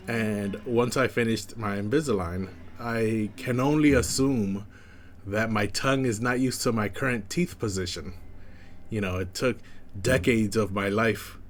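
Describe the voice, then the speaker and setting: A young man with a slight lisp, chatting casually as a first-time streamer in a flat, monotone way with many fillers. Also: fairly low pitch. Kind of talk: casual chat.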